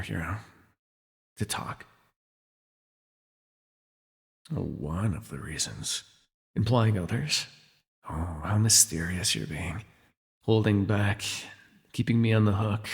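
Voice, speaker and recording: A jaded, imprisoned telepath villain , acted by a man, speaks in a low, gravelly voice that rings with long reverb. He is wry and sardonic, sighing wearily through an ASMR superhero audio drama. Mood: dry, resigned. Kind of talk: ASMR audio roleplay acting.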